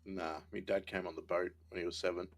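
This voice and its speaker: Gravelly, low, flat-toned Australian man. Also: quick pace. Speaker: co-player on a livestream. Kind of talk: casual chat while gaming.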